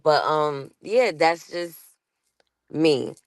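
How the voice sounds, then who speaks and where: A woman's voice: sits low, expressive, extremely slow, dry recording. A woman with a New York accent talking casually as a guest on a panel show, laughing often and restarting her sentences. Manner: chatty, laughs often, self-interrupting.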